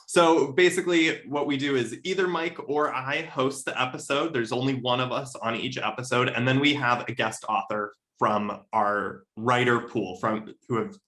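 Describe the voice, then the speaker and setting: A man's voice: mid-range voice, fairly even intonation, normal speed, few pauses, bright, forceful tone. A man speaking casually in an interview, explaining things with enthusiasm as a podcast co-host. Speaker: podcast co-host appearing as guest.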